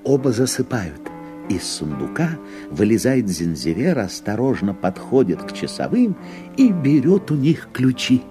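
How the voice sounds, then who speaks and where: A man narrating a fairy-tale audio play as a measured storyteller, in scripted narration. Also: medium pitch, big pitch swings, over music.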